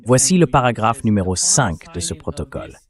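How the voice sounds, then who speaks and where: A man reading a translated political interview as a voice-over, in a measured, explanatory way. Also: on the low side, big pitch swings.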